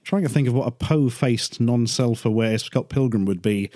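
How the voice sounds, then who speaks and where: A man on a casual podcast panel with a dry, deadpan wit. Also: medium pitch, normal speed, no room sound. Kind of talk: casual discussion.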